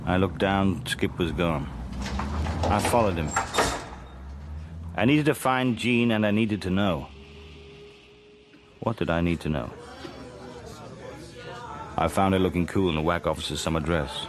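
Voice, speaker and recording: An actor playing a wry, weary and guarded Cold War spy in a radio drama, with a dry, understated delivery. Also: male voice, sits low, unhurried, pauses often, crowd noise. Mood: brooding, reflective. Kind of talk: radio spy drama acting with first-person narration.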